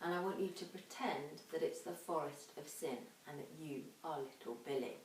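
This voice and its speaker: A woman's voice: low-pitched, darkish tone, a little hiss or hum. Female infant teacher, dramatic children's reading style, recorded away from the mic in a roomy space. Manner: dramatic, emphatic, drawn-out sound words. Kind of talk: expressive children's storybook reading and instruction. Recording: echoey room, distant microphone.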